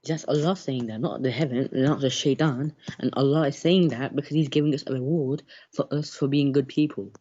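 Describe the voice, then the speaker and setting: A man's voice: mid-range voice, steady tone, a little fast, hardly any pauses, dark tone, highs cut off. A man calling into a live-stream debate, defensive, who keeps trying to explain and asks not to be interrupted. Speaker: caller.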